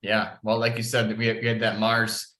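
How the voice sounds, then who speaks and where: A man's voice: fairly low pitch, completely flat delivery, normal speed, bright, forceful tone. A man hosting a market podcast in a conversational interview style, with frequent filler words as he talks. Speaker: podcast host. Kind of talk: casual market commentary interview. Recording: roomy, echoey sound.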